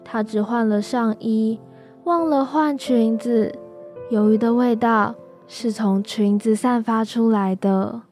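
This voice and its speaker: A teenage young woman narrates a scripted radio story. Her voice is fairly high, with faint music underneath. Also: fairly even intonation, slow, a little mellow. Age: high school student.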